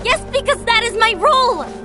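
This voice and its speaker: A woman's voice: very high voice, lively intonation, normal speed. A woman voicing a dutiful, anxious young leader in a fantasy video game, speaking earnestly and with open emotion. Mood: upset, defensive. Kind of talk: dramatic video game voice acting. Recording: orchestral music behind the voice.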